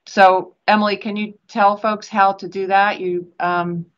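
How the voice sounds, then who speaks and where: An older woman moderating a public online meeting as an elected official, speaking in a deliberate, encouraging way over a video call. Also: mid-range voice, level tone. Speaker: elected official hosting an event. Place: small office. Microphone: video call computer microphone. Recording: dull video call audio.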